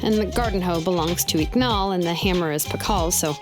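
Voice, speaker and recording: A woman playing an easygoing young female blacksmith in a video game, with a relaxed, wry way of talking. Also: on the low side, a little fast, natural voice. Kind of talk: video game character acting. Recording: game music behind. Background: game music.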